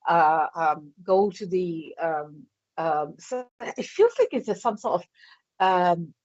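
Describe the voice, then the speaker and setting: Slow, hesitant Yorkshire woman medium. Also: lowish voice, lively intonation, a little mellow, no echo. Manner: hesitant, many fillers, thinking aloud. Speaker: psychic medium. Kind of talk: live psychic reading on air. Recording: dull lo-fi sound.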